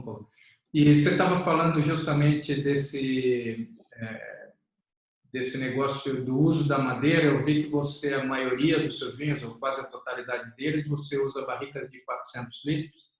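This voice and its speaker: A man with a Brazilian Portuguese accent, hosting a wine interview in a relaxed, rambling way. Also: medium pitch. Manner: relaxed, rambling, many fillers. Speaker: wine magazine host. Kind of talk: casual interview chat. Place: office room. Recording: very echoey room.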